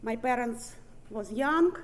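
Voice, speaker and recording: Candid Russian-accented woman speaker, slightly high. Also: expressive, takes it slowly. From born in Ukraine, raised in Siberia. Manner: candid, profane, laughs often. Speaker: recovery meeting main speaker. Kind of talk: personal recovery testimony speech. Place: meeting room with audience.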